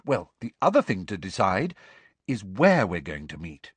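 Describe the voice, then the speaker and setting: A man reads as a bossy, earnest teenage girl who speaks briskly and tartly, her tone lively and swinging. The voice is a little husky, in a dry, close recording. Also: sits low, no echo, heavily acted. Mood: tense. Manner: brisk, impatient, tart.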